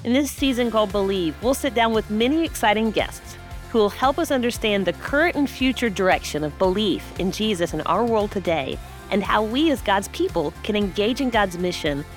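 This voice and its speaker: A woman with a mid-range voice co-hosts a church podcast. She reads the opening smoothly and asks the guest questions. Soft music plays faintly behind her. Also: medium pitch, lively intonation, brisk. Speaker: podcast co-host. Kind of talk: scripted intro and casual chat.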